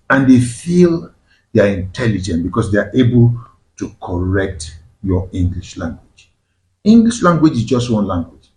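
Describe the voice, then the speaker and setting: A man whose first language is Igbo, hosting a panel discussion and asking his guests pointed questions with emphasis. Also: mid-range voice. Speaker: host. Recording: echoey room.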